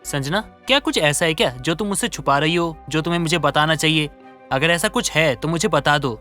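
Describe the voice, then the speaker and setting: A man's voice: a little high, fairly quick, pushed and bright, soft background music. A man voicing a caring, worried young husband in a moral story, questioning with concern. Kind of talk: Hindi moral story voice acting.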